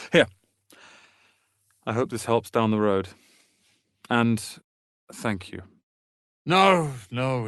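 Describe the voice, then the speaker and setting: Proud young wizard apprentice, fantasy game, theatrical, prickly, sharp-tongued, dry close sound. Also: male voice, on the low side, no echo. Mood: contrite. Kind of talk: fantasy video game voice acting.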